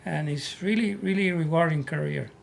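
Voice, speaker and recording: Puerto Rican-accented man, earnest, rather high. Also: moderate pace, vocal fry. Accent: Puerto Rican Spanish. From Puerto Rico. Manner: earnest, repeats words as he searches for English. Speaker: guest, army veteran. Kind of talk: casual interview storytelling.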